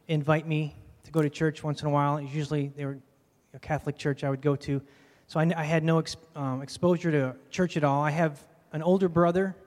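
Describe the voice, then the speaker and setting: A soft-spoken man with a fairly high voice talks about himself before a congregation. His mild, rambling speech carries a long reverb from the hall around him. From Michigan, USA. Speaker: pastoral candidate. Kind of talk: casual personal testimony. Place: church hall.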